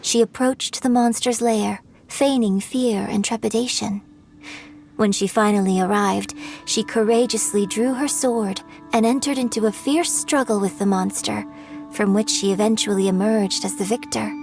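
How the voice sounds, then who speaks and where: Woman doing a poised opera singer telling a legend, higher voice, measured and theatrical, faint music behind. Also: a little mellow, natural voice. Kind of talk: game voice acting.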